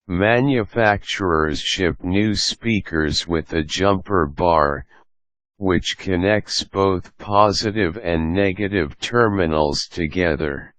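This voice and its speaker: A man with a low voice narrates scripted instructions in a close, dry recording. He takes his time, delivering each step in a measured, orderly way. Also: a bit bright, no room sound. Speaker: tutorial narrator.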